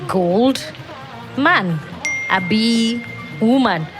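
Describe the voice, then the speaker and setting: A nosy young Nigerian friend sounds skeptical, with a fairly high, animated voice. She takes her time over music. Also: drawn-out words. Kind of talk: audio drama acting. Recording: music playing under the voice.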